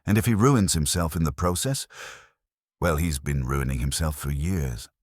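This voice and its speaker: A man voicing an anxious, bitter married woman in an audiobook mystery, in a hushed manner. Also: rumbling low, normal speed, no echo, studio quality.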